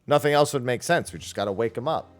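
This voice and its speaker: A man's voice: medium pitch, quick pace, faint music behind. A man giving casual, off-the-cuff commentary while streaming a video game. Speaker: streamer playing through a story game.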